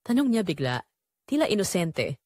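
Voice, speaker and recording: A woman's voice: lowish voice, sing-song, moderate pace, darkish tone, no echo, clean studio sound. A woman narrating a dramatic first-person story in an emotive way.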